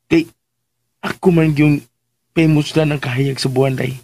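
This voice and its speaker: A woman playing a lovestruck, clumsy, excitable young suitor in a radio drama, melodramatically wooing with a Filipino Visayan accent. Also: sits low, measured pace, a little hiss or hum. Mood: wooing, dreamy.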